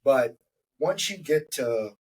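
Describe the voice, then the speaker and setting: A man's voice: medium pitch, lively intonation, slow, darkish tone, distant mic, slight background noise. A man in his forties hosting a podcast interview, talking in a reflective, rambling way with many fillers. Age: 40s. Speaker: podcast host. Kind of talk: casual interview conversation.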